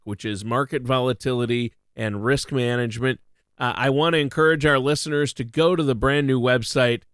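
A man's voice: mid-range voice, fairly bright tone, dry recording. Male radio host for a finance program, conversational, mid-range voice, dry recording.

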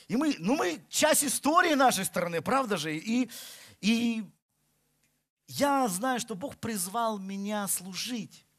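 A middle-aged man from Russia preaching a sermon, with emphatic delivery that rises and falls. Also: sits high. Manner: emphatic, rising and falling delivery. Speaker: pastor.

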